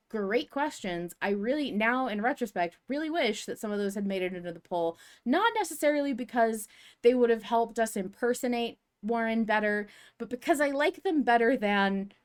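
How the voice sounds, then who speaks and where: A woman co-hosting a casual fan podcast, talking in an animated, emphatic and rambling way with informal language. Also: fairly high pitch, moderate pace. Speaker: podcast co-host.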